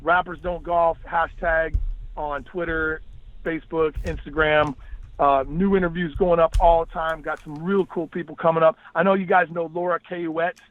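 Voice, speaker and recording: This is a man with a notably high voice. He speaks as a rapper and podcaster guesting on a talk show, in a casual style suited to freestyles and shout-outs. Music sits faintly in the background. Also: steady tone, normal speed, no echo, phone-quality sound. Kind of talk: freestyle battle rap and casual promotional chat.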